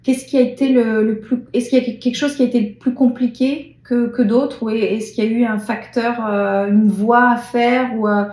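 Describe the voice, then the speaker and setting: A woman's voice: a little high, steady tone, normal speed, few pauses, a little mellow, roomy sound. A woman interviewing a voice actor in casual chat, sharing her own dubbing memories expressively.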